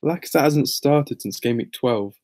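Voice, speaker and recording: A man's voice: mid-range voice, moderate pace, a little mellow. A man talking casually as a podcast co-host, weighing up fantasy football choices in a matter-of-fact, analytical way.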